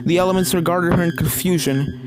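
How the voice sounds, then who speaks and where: A woman's voice: fairly low pitch, fairly even intonation, fairly quick, music in the background. A woman reading fan fiction aloud as scripted story narration.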